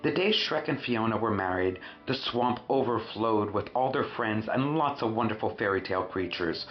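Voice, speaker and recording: A man reading a children's storybook expressively, with dramatic emphasis and drawn-out words. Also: lowish voice, animated. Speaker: storyteller, host of a read-aloud show. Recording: roomy, muffled sound with faint music.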